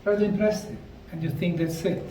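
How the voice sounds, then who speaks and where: A middle-aged man slowly narrating a documentary on location at ancient ruins, explaining the sites like a tour guide. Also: high-pitched, steady tone, dark tone, slight background noise. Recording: roomy, distant mic with faint outdoor ambience.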